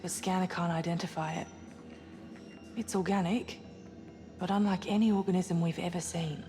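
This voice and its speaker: An actress playing an earnest, suspicious scientist aboard a spaceship in a cinematic video game. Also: female voice, fairly low pitch, fairly even intonation, moderate pace, dark tone, lightly acted. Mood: fascinated, grave. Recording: long reverberant hall, music behind.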